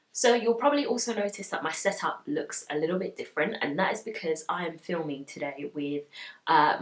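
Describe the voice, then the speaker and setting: Low-voiced woman chatting candidly, roomy sound. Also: fairly bright tone. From Uganda. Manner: chatty, candid, many ums. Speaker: style vlogger. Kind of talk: casual chatty talk to camera. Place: room at home lit by studio lights. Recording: roomy, slightly distant mic.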